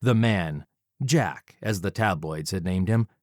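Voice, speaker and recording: A man narrating a first-person story as an audiobook reader, dramatic, measured and deliberate, close to the microphone. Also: low-pitched, no room sound.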